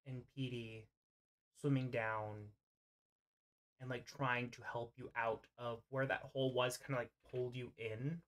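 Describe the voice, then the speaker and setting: A game master narrating an improvised tabletop roleplay over an online voice call, often pausing mid-sentence. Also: mid-range voice, little pitch movement, fairly bright tone.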